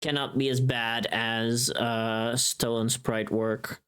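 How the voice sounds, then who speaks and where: Casual livestream host, medium pitch, loose rambling chat, often muttering to self between tasks. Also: little pitch movement, slow, a bit bright, clean tone. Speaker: livestreamer, voice actor.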